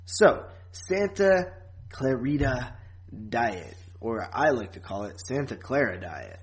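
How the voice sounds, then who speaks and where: A man talks as a podcast co-host in an informal review chat. His pitch sits in the middle of the male range. He keeps things loose and conversational, with a teasing, joking streak. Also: very animated, slow.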